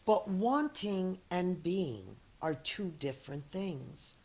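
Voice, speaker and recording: Slow-reading middle-aged woman, lower-pitched voice. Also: creaky. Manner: deliberate, expressive storytelling to children. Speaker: elementary school teacher reading a story. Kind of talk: read-aloud of a children's picture book with chatty asides.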